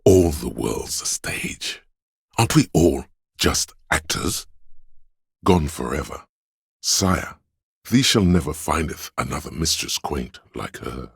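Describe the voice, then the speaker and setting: Gravelly, bottomless-voiced theatrical courtier. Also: male voice, animated, slow, no room sound, studio quality, full character voice. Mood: grandly deferential. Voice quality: gravelly, husky bass. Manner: theatrical, grandiloquent, addressing a liege. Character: theatrical male inner voice, courtly, scheming, obsequious adviser. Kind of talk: scripted game voice lines, archaic courtly speech.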